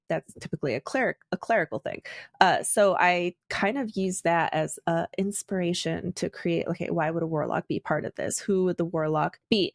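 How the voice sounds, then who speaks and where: A woman's voice: on the low side, gravelly, dry recording, clean studio sound. A woman answering interview questions casually, with frequent "uh" fillers. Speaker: guest player.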